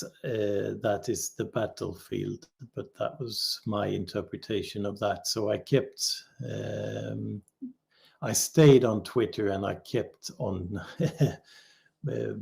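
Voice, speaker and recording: A man with a gravelly, mellow voice in a relaxed livestream conversation. He talks very slowly and hesitantly, pausing often as he pieces his thoughts together. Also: fairly low pitch. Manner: hesitant, halting, drawn-out phrasing with long pauses. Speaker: livestream guest. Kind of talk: casual unscripted discussion.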